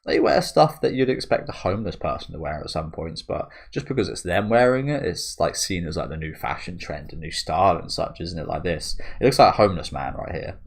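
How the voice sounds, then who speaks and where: A man's voice: sits low, expressive, fairly quick, vocal fry, few pauses. A man hosting a manga reaction video. He thinks aloud in a rambling, casual way, with many restarts and tag questions. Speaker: manga reaction channel host.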